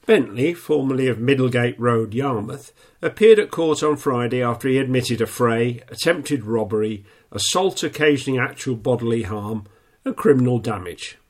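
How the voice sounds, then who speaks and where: A man reading local news in a plain, steady way, a little snuffly with a stuffy nose. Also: mid-range voice, moderate pace. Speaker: newsreader.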